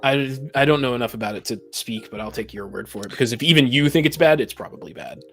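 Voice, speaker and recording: Man, podcast panelist, explanatory casual talk, medium pitch, faint background music. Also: fast talker. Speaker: panel guest on a tabletop gaming podcast.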